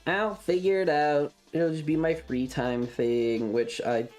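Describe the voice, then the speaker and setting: A young adult streamer talks off the cuff to a live chat. The voice sits at a medium pitch, and the delivery wanders, doubling back on phrases with hesitation. Music plays underneath. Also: fairly even intonation, unhurried, a little mellow. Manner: rambling, hesitant, repeats phrases. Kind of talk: casual livestream chat.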